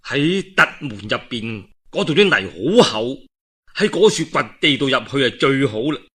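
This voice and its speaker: A man's voice: mid-range voice, big pitch swings, normal speed, a bit bright, slightly rough, voice pushed down. Man voicing a confiding Cantonese turncoat officer. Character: defecting gate officer quietly advising a warlord.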